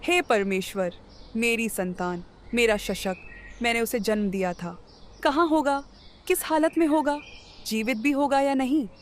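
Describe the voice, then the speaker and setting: A woman's voice: on the high side, a little slow, a little hiss or hum, natural voice. A woman voicing an imprisoned queen, a loving, devout mother, crying in grief in a Hindi cartoon. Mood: anguished, praying. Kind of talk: Hindi animated moral story dialogue.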